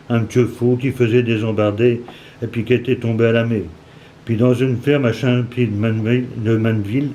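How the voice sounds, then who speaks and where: A man in his seventies from Normandy with a Cauchois accent, reading a story aloud in dialect, halting at times with restarts and asides. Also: mid-range voice, dark tone, a little hiss or hum. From Normandy, France. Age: 70s.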